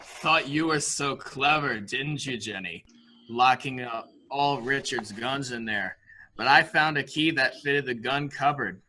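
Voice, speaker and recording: An actor plays a childlike, dangerous grown man in an amateur stage-style mystery. His voice is extremely bright and forceful, with a boyish, taunting eagerness. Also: medium pitch, a little slow, a little hiss or hum, low-quality recording. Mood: gloating, taunting. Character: childlike, unstable grown man thrilled by guns and killing. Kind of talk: amateur radio-play acting.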